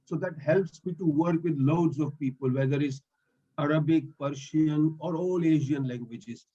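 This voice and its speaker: A man's voice: a little high, normal speed, dark tone, muffled recording. A man in his fifties whose first language is Swahili, speaking earnestly in non-native English as a mental health link worker in an online meeting. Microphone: video call.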